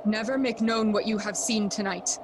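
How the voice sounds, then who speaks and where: A woman playing a grieving, feverish young prince in a Shakespearean audio drama, theatrical and deliberate. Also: medium pitch, fairly even intonation, background noise, natural voice. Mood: urgent, secretive.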